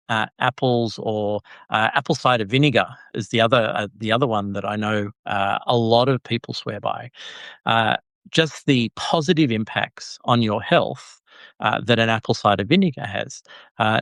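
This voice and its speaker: A male podcast host chats casually, often stumbling over his words and filling gaps with "uh". His voice sits at an ordinary pitch. He is recorded close to the mic in a dry room with no echo. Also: unhurried, a bit bright. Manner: hesitant, with many "uh"s and repeated words. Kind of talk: conversational podcast chat.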